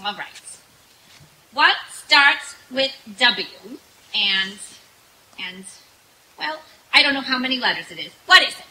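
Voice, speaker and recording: A woman's voice: high-pitched, expressive, slow, pauses often, sharp tone, slight background noise, exaggerated voice, low-quality recording. A woman playing a ditzy, cheerful 1940s radio comedienne with a bubbly, naive delivery. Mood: ditzy, eager. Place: outdoor night stage in woods.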